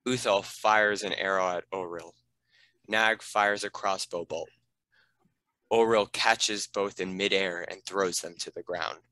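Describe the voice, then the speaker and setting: A man's voice: deep voice, bright, forceful tone, no room sound, low-quality recording. A man reading scripted narration and stage directions for a fantasy script read.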